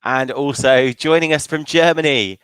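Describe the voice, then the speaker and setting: A man's voice: fairly high pitch, moderate pace, a bit bright, smooth, dry recording, poor audio. A man hosting a live online fan panel show in a chatty presenting style.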